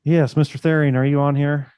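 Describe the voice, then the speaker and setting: A man leading a school board meeting in a brisk, lightly joking manner. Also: fairly high pitch, flat delivery, a little mellow, smooth, no echo.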